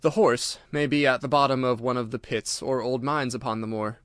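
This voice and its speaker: A man's voice: medium pitch, steady tone, fairly quick, a bit bright, clear, resonant tone, no room sound, clean studio sound, lightly acted. Man reading as an eager, assertive police inspector. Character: earnest, confident male police inspector, eager and courteous. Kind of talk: audiobook character dialogue.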